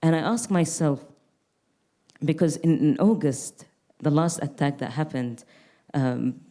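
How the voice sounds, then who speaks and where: Young woman from gaza talking on a panel, low mellow voice, thoughtful, restarts a lot. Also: a little slow. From Gaza Strip. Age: young adult. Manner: reflective, earnest, with frequent restarts. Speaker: artist. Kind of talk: panel conversation.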